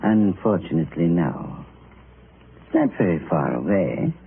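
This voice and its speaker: An actor playing a courteous, sly pawnshop keeper in an old radio drama, speaking formally and with insinuation. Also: male voice, low voice, sing-song, unhurried, gravelly, husky, heavily acted. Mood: courteous, intriguing. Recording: noisy, narrow-band old radio recording.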